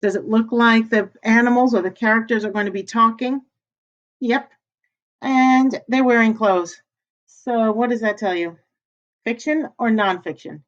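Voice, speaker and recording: A woman reading a storybook aloud like a teacher, pausing to ask children questions and answer them. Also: on the high side, dull audio.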